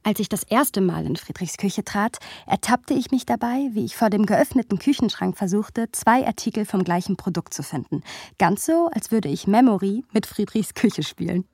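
A young woman telling a story in a radio drama. Her voice moves in a lively way at a medium height. She is observant and wry, slipping between narration and playful banter. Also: medium pitch, normal speed, breathy edge, dry recording, clean studio sound. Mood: wry, reflective. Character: Sophia, young woman narrating and teasing her drunk friend. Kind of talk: radio play narration and dialogue.

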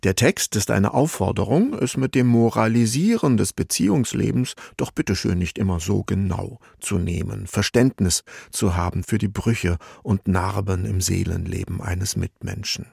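A man reads a scripted music feature as a radio presenter, in a measured, explanatory manner. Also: lowish voice, creaky, darkish tone, husky, no room sound, clean studio sound.

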